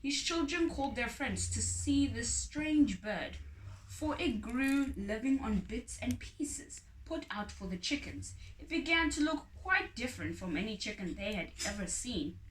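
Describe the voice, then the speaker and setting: A woman reading a children's story aloud in an expressive, dramatic way. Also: fairly high pitch, unhurried. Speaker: storybook reader. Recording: roomy echo, distant mic, faint noise.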